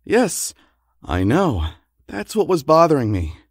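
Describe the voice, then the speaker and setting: An actor playing a worried, long-suffering husband of a secret superheroine in an audio comedy drama. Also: male voice, fairly high pitch, huge pitch swings, takes it slowly, breathy edge, no echo, studio quality, heavily acted. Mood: exasperated.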